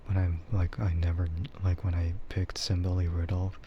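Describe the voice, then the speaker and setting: A man chatting softly in a casual ASMR stream, often slipping toward a whisper, with a rambling, hesitant delivery. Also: deep voice, little pitch movement, normal speed, lo-fi sound, slight background noise. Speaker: VTuber streamer. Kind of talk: soft ASMR casual chat.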